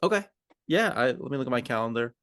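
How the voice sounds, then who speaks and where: A man's voice: mid-range voice, lively intonation, quick pace, a bit bright, smooth, no echo, clean studio sound. A man co-hosting a podcast, talking in an informal, collaborative, conversational manner. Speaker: podcast co-host. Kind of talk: roleplay and conversational coaching discussion.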